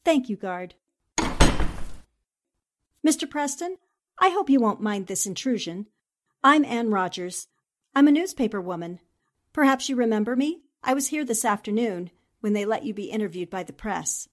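A high female voice from an actress plays a principled newspaperwoman in a forties radio drama. She is articulate and courteous, with a firm, assertive way of pressing her questions. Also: expressive, normal speed, pauses often, close to an everyday voice. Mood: polite, probing. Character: determined, principled newspaperwoman investigating a murder case. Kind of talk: 1940s radio drama acting.

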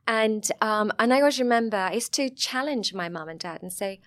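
A middle-aged English woman from Sussex talks in a frank, chatty way, her accent plainly southern English. Her pitch sits at an ordinary middle level, and she laughs easily between her thoughts. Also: expressive, fairly quick. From England. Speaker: guest. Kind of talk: casual interview chat.

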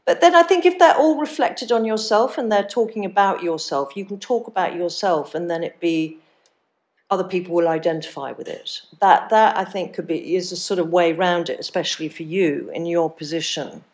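Chatty middle-aged woman host, lowish voice. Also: brisk. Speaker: podcast host. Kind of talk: casual interview chat.

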